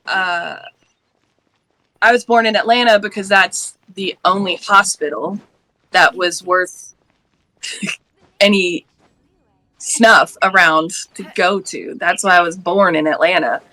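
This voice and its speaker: Woman late 30s, from the Deep South, slow and bright, passionate panelist, dry sound. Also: steady tone, dry recording, low-quality recording. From Georgia, US Deep South. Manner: passionate, earnest, laughs often. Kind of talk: casual panel discussion.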